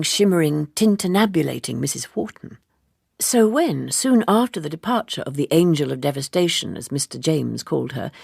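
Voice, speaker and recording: A woman reading literary fiction as an audiobook narrator, in a measured, articulate and wry way. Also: low voice, gravelly, darkish tone, breathy edge, no room sound, clean studio sound. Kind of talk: expressive literary scripted narration.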